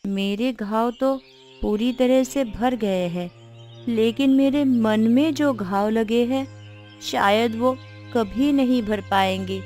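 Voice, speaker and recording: A woman's voice: fairly high pitch, slow, darkish tone. A woman playing sorrowful village women in a cartoon moral story, speaking in a plaintive, dramatic way. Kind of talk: Hindi cartoon story dialogue. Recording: background music under the voice. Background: music.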